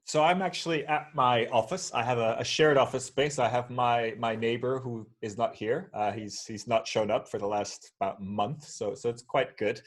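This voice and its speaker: Male guest from Portugal, relaxed and rambling, on a video call. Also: medium pitch, normal speed, few pauses. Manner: relaxed, rambling with many fillers and restarts. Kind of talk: casual video call chat. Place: shared office space.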